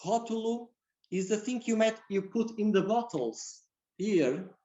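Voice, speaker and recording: A man giving a lecture as a guest speaker, in halting with repeated phrases. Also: high-pitched, animated, warm tone, dull audio. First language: Portuguese. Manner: halting, non-native English with repeated phrases. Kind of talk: religious community lecture.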